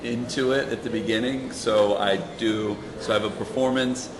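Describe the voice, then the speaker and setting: A man in a tabletop game describes what his character does, stopping and restarting often. His voice sits at an ordinary pitch. He sounds echoey in a large room with crowd noise behind him. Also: fairly even intonation. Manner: halting, full of fillers and restarts. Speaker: player.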